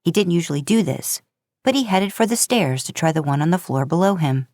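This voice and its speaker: Low-voiced woman reading a story. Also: fairly quick, darkish tone, dry recording, clean studio sound. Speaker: podcast host narrating fan fiction. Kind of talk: audiobook-style story narration.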